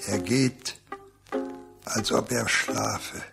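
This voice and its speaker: An actor as the narrator of a literary radio play, solemn and deliberate in his storytelling. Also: on the low side, steady tone, vocal fry, loud music behind the voice, exaggerated voice. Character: grave male storyteller of a radio novella.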